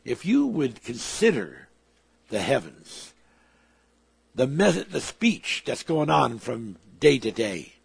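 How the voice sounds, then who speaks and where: A man's voice: mid-range voice, no echo. A man preaching a sermon, emphatic, with his volume swelling and dropping. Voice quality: gravelly, husky.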